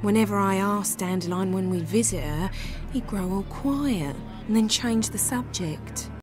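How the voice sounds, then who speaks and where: A woman's voice: medium pitch, measured pace, over music, lightly acted. A woman with a British accent playing a naive, chatty, lovestruck young maidservant in a video game. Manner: chatty, wide-eyed, rustic grammar.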